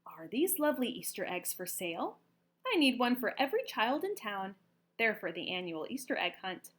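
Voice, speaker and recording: A woman's voice: medium pitch, very animated, moderate pace, fairly bright tone. A woman voicing a chirpy, eager townswoman in a storybook, with a sing-song, exclamatory way of talking. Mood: polite, eager. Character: chirpy, eager townswoman collecting Easter eggs.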